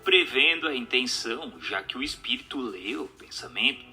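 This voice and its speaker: A man reading a book aloud as narrator, in a formal, measured, didactic way. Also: mid-range voice, expressive, bright, forceful tone, soft background music. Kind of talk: scripted narration, audiobook reading.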